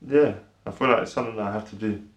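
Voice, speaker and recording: A young man who was born in Ireland and raised in the UK, a podcast guest talking in a soft, hesitant way with lots of fillers. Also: lowish voice, very fast, echoey room. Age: young adult. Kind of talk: casual conversation.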